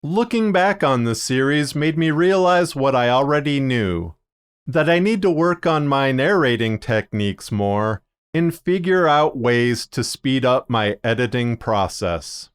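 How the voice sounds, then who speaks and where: A man narrating a scripted video as a podcaster, speaking in measured, deliberate phrases with short pauses. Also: mid-range voice, clear, resonant tone. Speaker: podcaster and fiction narrator presenting a video series.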